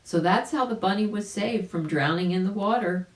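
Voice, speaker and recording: Female storybook reader, explanatory, talks straight to young listeners, mid-pitched, echoey room. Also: moderate pace. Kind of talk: children's story narration with asides to listeners.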